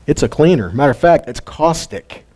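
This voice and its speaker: A man's voice: on the high side, lively intonation, normal speed, mellow. Folksy man teaching scripture. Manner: folksy, asks the listener questions, chuckles often. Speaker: Bible teacher. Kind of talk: casual Bible teaching.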